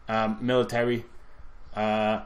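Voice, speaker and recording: Low-voiced man, halting, casual film critic. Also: monotone. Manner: halting, repeating phrases as he argues opinions. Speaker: podcast co-host.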